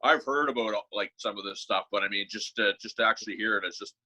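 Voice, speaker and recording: A man's voice: on the low side, quick pace, bright, forceful tone, breathy edge, lo-fi sound. A man co-hosting a podcast, asking roundabout questions in casual, hesitant talk. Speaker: podcast co-host interviewer. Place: remote online call.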